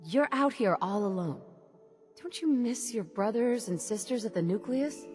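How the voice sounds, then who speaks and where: An actress as a level-headed woman wanderer in a video game, with a dark, mellow, medium-pitched voice. She is calm and persuasive, reasoning gently, with faint music underneath. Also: moderate pace, natural voice. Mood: earnest.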